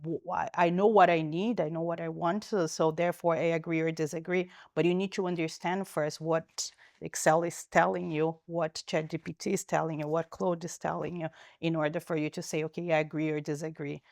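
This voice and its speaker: Low, even-toned woman. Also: a little fast, creaky, no echo, studio quality. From Brazil. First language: Portuguese. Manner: thoughtful, frequent restarts and fillers. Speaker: company founder and guest. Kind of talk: interview answers.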